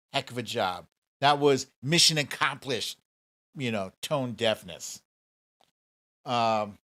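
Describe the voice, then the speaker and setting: A man's voice: medium pitch, a bit bright. An American man hosting a livestream, giving casual, informal political commentary with emphatic repetition. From United States. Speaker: livestream host.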